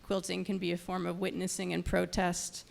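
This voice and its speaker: Female event host, lowish pitch with heavy vocal fry, semi-scripted introductions, occasionally tripping over words. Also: monotone. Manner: halting, stumbles over words. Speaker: nonprofit programs director introducing panel speakers.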